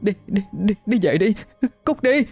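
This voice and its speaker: A man's voice: lively intonation, darkish tone, soft background music. A man voicing a frightened young female student who protests and pleads through tears. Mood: terrified. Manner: shrill, sobbing, rapid protesting.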